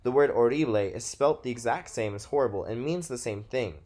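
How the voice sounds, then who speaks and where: A man's voice: medium pitch, fairly quick, darkish tone. A nineteen-year-old man from Texas with a Texas American accent, narrating a scripted presentation as a student. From Texas, United States.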